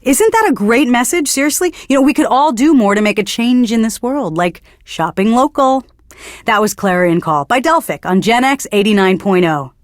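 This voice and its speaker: An actress playing a young, earnest and smugly pretentious hipster DJ in a video game, talking between songs. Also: female voice, fairly high pitch, expressive, moderate pace, no echo, clean studio sound, lightly acted. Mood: pretentious, lofty. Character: pretentious young hipster indie radio DJ woman, earnest and smug. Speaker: radio DJ. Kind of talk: radio DJ patter between songs.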